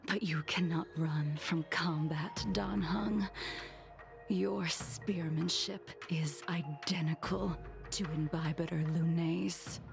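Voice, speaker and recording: A woman playing a cold, ancient swordswoman in a video game, speaking softly and formally with a breathy edge. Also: medium pitch. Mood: cold, challenging. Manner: slow, measured, formal, cryptic. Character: cold, ancient swordswoman reminiscing about fallen comrades. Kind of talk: scripted dramatic video game dialogue.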